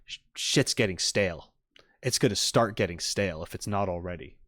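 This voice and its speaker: A man's voice: deep voice, normal speed, fairly bright tone. A man casually talking to his stream chat as a reaction streamer, giving his opinions emphatically. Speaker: streamer reacting to game trailers.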